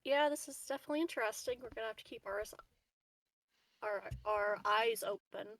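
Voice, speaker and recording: A woman's voice: on the high side, fairly quick, fairly bright tone, no echo. A woman playing a tabletop roleplaying game, thinking aloud in loose, casual, tentative conversation with the group.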